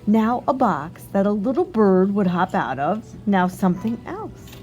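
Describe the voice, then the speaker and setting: A woman's voice: mid-range voice, muffled recording, soft background music. Woman reading a storybook aloud. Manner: expressive, instructive, pausing to ask questions. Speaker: read-aloud teacher. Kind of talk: storybook reading with asides to young listeners.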